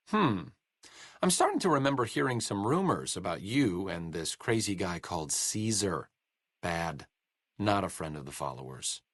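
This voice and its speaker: A man's voice: fairly low pitch, dry recording. An actor playing a sardonic, bookish male wasteland doctor with dry, self-deprecating wit in a video game. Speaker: game companion character.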